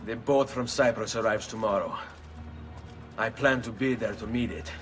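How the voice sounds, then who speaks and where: An actor playing a brash, determined young Italian avenger with an Italian accent, intense and dramatic in a video game. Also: male voice, fairly low pitch, steady tone, moderate pace, lightly acted. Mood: resolute. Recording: music behind the voice.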